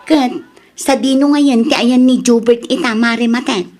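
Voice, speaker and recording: A woman's voice: fairly high pitch, a little slow, very noisy, close to an everyday voice. A woman playing a nosy, chatty villager in a comedy radio drama, with an Ilocano accent. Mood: prying, opinionated.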